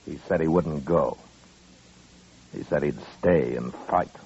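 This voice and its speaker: An actor playing a stern, weary American frontier marshal, blunt and measured, in 1950s radio western style. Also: male voice, a bass voice, darkish tone, husky, full character voice. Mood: grim, bitter. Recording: muffled old-time radio recording.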